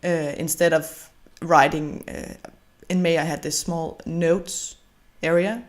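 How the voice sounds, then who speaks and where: A woman's voice: sits low, fairly even intonation, slow. A young woman whose first language is Danish, narrating a journaling video in casual, hesitant English with frequent fillers. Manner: hesitant, with many fillers and restarts. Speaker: bullet journal vlogger.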